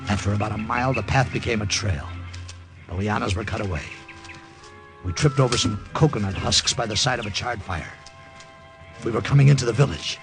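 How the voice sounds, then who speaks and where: An actor with an American accent playing a wisecracking soldier hero who narrates and acts in a vintage radio drama. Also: male voice, on the low side, brisk, creaky. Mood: tense, vivid. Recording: old radio recording with music behind.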